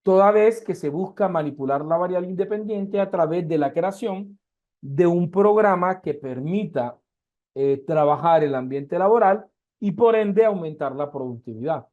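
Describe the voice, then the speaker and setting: Man, high voice, online research methods lecturer, explains with everyday examples and rhetorical questions. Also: moderate pace, darkish tone, dull audio. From Colombia.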